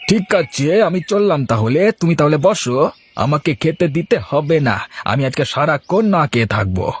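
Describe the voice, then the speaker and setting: A man's voice: a little high, big pitch swings, moderate pace, husky, no room sound, faint music behind. A man voicing an idle, self-important village husband in a cartoon, indignant and preachy. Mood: huffy. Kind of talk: cartoon voice acting.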